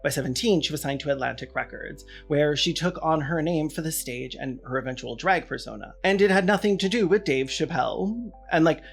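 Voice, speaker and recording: Higher-voiced man with wry sarcasm. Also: a little fast, vocal fry, faint music behind. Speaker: video essayist and host. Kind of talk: scripted video essay commentary.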